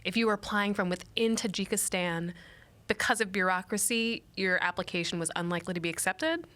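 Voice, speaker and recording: A woman's voice: medium pitch, fairly quick, bright, forceful tone. A woman hosting a studio interview, asking curious, probing follow-up questions. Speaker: show host.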